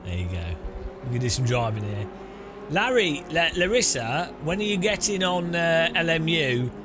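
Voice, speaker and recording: A man's voice: a little high, big pitch swings, moderate pace, noisy background. A man streaming a sim racing game, chatting casually and teasing his viewers with rambling jokes. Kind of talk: casual live-stream chat while driving. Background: loud electronic music.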